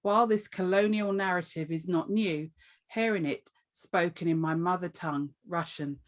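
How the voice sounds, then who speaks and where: A woman's voice: lowish voice, moderate pace. A woman taking part in a video call workshop, reading a passage aloud carefully.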